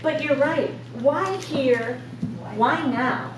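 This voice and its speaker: A woman with a fairly high, loud voice speaks up eagerly at a community meeting and fires off questions. She is heard from far off in a very echoey room, with the murmur of a crowd around her. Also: very slow. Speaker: local resident.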